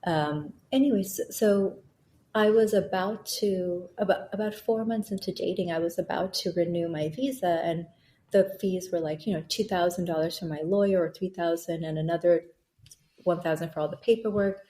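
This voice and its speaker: Low mellow woman in an echoey room. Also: fairly even intonation, normal speed. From born in Bangladesh, raised in Thailand. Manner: reflective, earnest storytelling with frequent restarts. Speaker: interview guest, author. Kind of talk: candid conversational interview.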